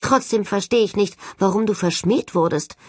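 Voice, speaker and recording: A young female ghost hunter in an audiobook, voiced by a woman reader, brusque and insistent. Her voice is a little husky and recorded very dry and close. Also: medium pitch, moderate pace, darkish tone, no echo, pitched above the performer's own voice, close to an everyday voice. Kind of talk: audiobook character dialogue.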